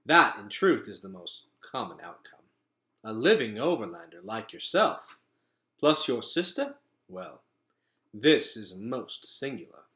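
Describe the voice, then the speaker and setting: A man voicing a calm, commanding leader in a storybook, with stately, formal and archaic phrasing. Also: lowish voice, measured pace. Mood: grave. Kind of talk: acted storybook dialogue.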